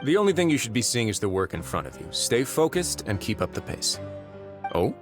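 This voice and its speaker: An actor playing a composed male prison warden in a game, with a measured, dry and authoritative way of talking. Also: medium pitch, very animated, lightly acted. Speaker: prison administrator. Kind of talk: game voice acting. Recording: studio game audio with faint music.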